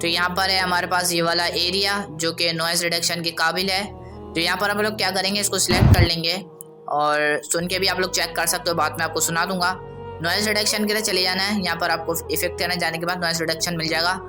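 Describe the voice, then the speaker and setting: A man's voice: sits high, fairly even intonation, fast talker. A man giving an informal, instructional screen tutorial in a casual way. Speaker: audio editing tutorial presenter. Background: music.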